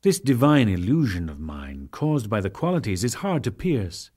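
A man narrating sacred scripture as a dramatic reading, solemn and declamatory, in measured phrases with deliberate pauses. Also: on the low side, big pitch swings, darkish tone. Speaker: audiobook narrator.

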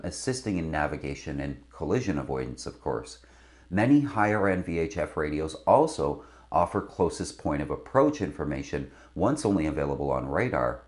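A man's voice: rumbling low, darkish tone. A middle-aged man presenting scripted instruction to camera as a sailing video host. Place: indoor studio with branded backdrop. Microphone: lapel mic.

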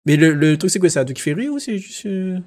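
A man with a Réunion Creole accent hosts a casual livestream chat. His voice sits a bit high. He talks with slangy enthusiasm. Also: animated, fast, darkish tone. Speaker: livestream host.

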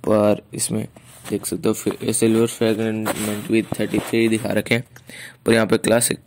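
A man's voice: on the low side, monotone, normal speed, creaky, noisy background. A man with an Indian accent giving casual game showcase commentary, often repeating his phrases. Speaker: gaming video creator and host.

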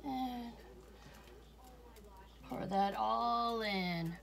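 A woman talking casually through a cooking demonstration in her home kitchen, homey and unhurried, chuckling now and then. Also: a little high, gravelly. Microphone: fixed camera at counter, speaker moving around.

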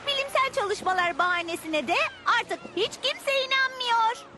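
A woman's voice: very high-pitched, lively intonation, moderate pace. A woman voicing an earnest little child who explains grave facts in a dubbed educational cartoon. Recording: loud music behind the voice.

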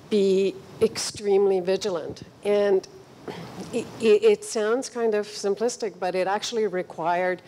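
Mellow middle-aged woman on a panel. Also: fairly low pitch, slight background noise. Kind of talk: considered panel discussion talk. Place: stage of a cinema auditorium. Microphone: handheld microphone.

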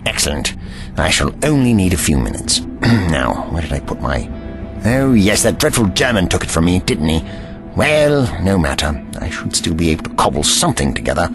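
A man acting an eccentric elderly gentleman adventurer in an audio drama, with a deep voice that rises and dips freely. He muses to himself and talks down courteously, with music behind him. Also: big pitch swings, normal speed. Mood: brisk musing. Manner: musing, patronising, old-fashioned courtesy. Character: eccentric old time-travelling Doctor, brisk, patronising gentleman.

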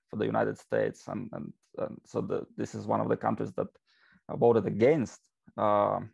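Gravelly-voiced man on a dull video call. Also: lowish voice, darkish tone, dry recording. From Russia. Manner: hesitant, many fillers and restarts. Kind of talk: casual video-call interview. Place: home study with bookshelves. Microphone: video call, laptop mic.

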